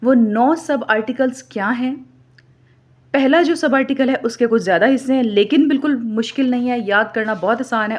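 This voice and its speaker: A woman with a Pakistani accent lecturing students methodically, repeating key points and mixing in English terms. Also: mid-range voice. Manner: mixes English terms and read-out clauses into explanation. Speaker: law lecturer. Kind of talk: instructional lecture for exam students.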